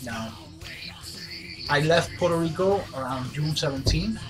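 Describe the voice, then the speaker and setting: A congested man in his fifties talks casually into a webcam from a small wood-paneled room, wandering through his update with plenty of "uh"s and restarts. His voice sits a little higher than most men's. Clear guitar music plays under him the whole time. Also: animated, unhurried. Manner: rambling, many fillers and restarts. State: stuffy, congested from a cold. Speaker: gaming channel host. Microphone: webcam at desk. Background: guitar music playing.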